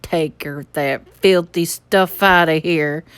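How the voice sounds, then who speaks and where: A woman voicing a tiny drunken cowboy man with a Texas accent, shouting in a belligerent, slangy drawl. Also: deep voice, fairly even intonation, slow pace, clean tone, dry recording. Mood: angry.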